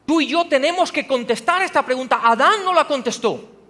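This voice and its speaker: A man's voice: very high-pitched, expressive, fairly quick, bright, forceful tone. A male pastor preaching a sermon in a church, pressing the congregation with rhetorical questions and rising to emphatic passages. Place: church hall. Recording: long hall reverb.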